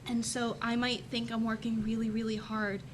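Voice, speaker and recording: A young woman student on a discussion panel, thinking aloud tentatively with pauses. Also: flat delivery. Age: teens to young adult. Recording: clear background noise and rumble.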